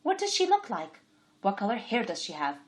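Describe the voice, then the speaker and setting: A woman's voice: mid-range voice, huge pitch swings, brisk, pitched above the performer's own voice. A woman voicing an impatient older woman who speaks brusquely.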